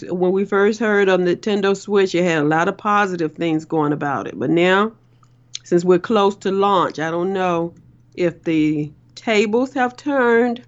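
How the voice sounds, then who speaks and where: A woman's voice: on the low side, lo-fi sound. A woman calling in to her son's radio show, chatty and fussy, firing off many questions. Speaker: caller, host's mother. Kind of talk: casual call-in chat.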